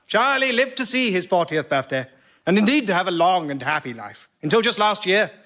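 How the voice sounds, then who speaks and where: A fussy, articulate fellow, speaks in a fairly high voice with careful, slightly pompous earnestness. The radio comedy recording has clear noise behind it. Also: male voice, sing-song, fairly quick, pushed and bright, full character voice. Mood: grandly narrating. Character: fussy, earnest storyteller and anxious exam-taker. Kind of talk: radio sketch comedy acting.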